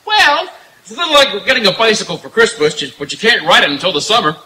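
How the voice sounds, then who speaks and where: A man's voice: high-pitched, sing-song, quick pace, sharp tone, slight background noise, exaggerated voice. A man playing a bashful young singer in a 1940s radio comedy. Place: outdoor night stage in woods. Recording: echoey live outdoor stage recording.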